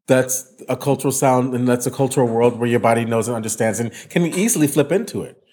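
A man's voice: medium pitch, quick pace, darkish tone. A man hosting an educational podcast, talking with enthusiasm and often repeating himself. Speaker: podcast host, voice teacher. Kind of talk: casual podcast chat.

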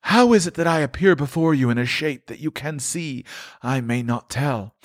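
A man voicing an anguished, mournful old male ghost in chains, declaiming in a drawn-out lament. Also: fairly high pitch, no echo, studio quality, voice pushed up, close to an everyday voice. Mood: solemn.

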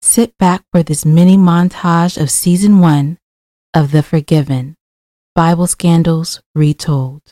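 A woman's voice: low-pitched, steady tone, unhurried, mellow, dry recording, studio quality. A woman reading scripted promo narration as a podcast host and announcer.

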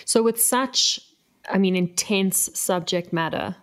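A woman interviewing a musician, asking long, measured and thoughtful questions. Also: medium pitch, a bit bright.

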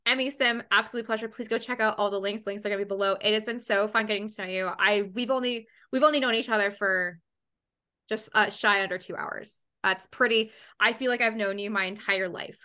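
Bright-voiced chatty woman podcast host. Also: medium pitch, brisk. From Canada. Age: 20s. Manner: swears freely, animated and chatty. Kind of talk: casual interview chat.

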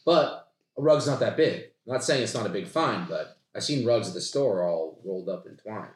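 A man's voice: on the low side, normal speed, close to an everyday voice. Man playing an eager, chatty young dig assistant. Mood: awed, excited. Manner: excitable, wordy, earnest. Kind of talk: comedy sketch table read. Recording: roomy, echoing podcast recording.

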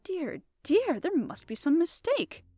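Woman playing affable, mischievous gentleman adventurer. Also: extremely high pitch, big pitch swings, slow pace, no echo, faint music behind, voice pushed up, exaggerated voice. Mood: affable, mock-innocent. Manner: affable, placid, mock-innocent politeness. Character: cool, mischievous gentleman adventurer posing as a secretary.

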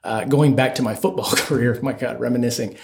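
A man's voice: mid-range voice, fairly even intonation, brisk, gravelly, a little mellow, a little hiss or hum. A man hosting a casual interview, upbeat and chatty, often agreeing with his guests. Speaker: host. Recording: echoey room.

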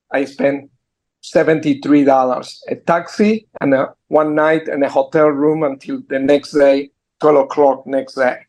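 An older man with a strong Argentine Spanish accent tells his life story on a video call. He speaks earnestly and stops and restarts his sentences often. His voice sits fairly high. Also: a little mellow, rough recording. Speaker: guest entrepreneur. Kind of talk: storytelling interview. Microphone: computer mic on a video call.

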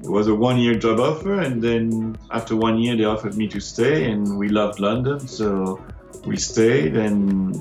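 Chatty Belgian-raised man, low voice. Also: measured pace, a little mellow, roomy sound, faint music behind, low-quality recording. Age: 40s. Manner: rambling, many restarts, chuckles often. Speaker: DJ and producer guest. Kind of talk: casual interview chat.

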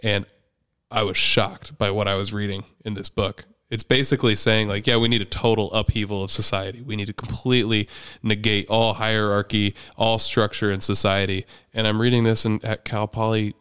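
A man's voice: fairly low pitch, level tone, moderate pace, a bit bright, clean tone, no room sound, clean studio sound. A man talking candidly and conversationally as a guest, recounting his own experiences. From United States. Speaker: podcast guest, parent activist.

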